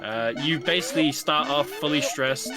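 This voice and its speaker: A man's voice: fairly high pitch, normal speed. A man co-commentating on a speedrun in a casual, explanatory, somewhat hesitant way. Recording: loud game music behind. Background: video game music.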